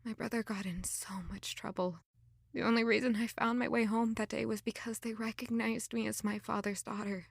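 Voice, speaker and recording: Young female runaway for audio drama: high voice, dry close sound, wistful, dramatic, hard on herself. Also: flat delivery, fairly quick, creaky, no room sound. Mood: wistful, subdued. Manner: dramatic, anguished self-questioning. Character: self-blaming young runaway woman, wistful and highly emotional. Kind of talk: audio drama acting with reflective narration.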